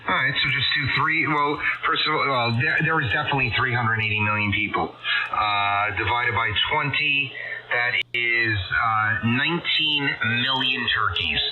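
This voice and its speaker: A man's voice: medium pitch, normal speed. Man on a dull, noisy radio link. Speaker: amateur radio net participant. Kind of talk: casual group chat on ham radio. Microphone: radio transmission. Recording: dull band-limited radio signal, clear hiss.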